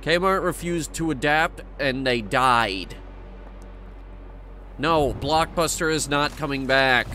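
A man's voice: fairly high pitch, slow pace, pushed and bright, slight background noise. A man doing casual live game commentary as a streamer, chatting to viewers in a wry, matter-of-fact way.

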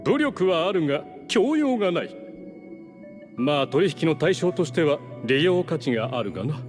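Pompous, higher-voiced scheming nobleman. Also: sing-song, moderate pace. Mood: disdainful. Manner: pompous, formal, condescending. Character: haughty scheming nobleman of a fallen clan. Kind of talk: Japanese game voice acting. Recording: game music behind the voice.

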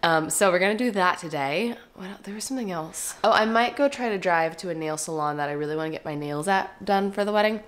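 A young woman, chatting casually to camera at home while stuffy and a little raspy. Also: lowish voice, quick pace, hardly any pauses. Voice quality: slightly raspy. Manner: chatty and upbeat, trailing into soft asides. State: stuffy, congested. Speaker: lifestyle vlogger. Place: living room and kitchen at home. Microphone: camera at close range.

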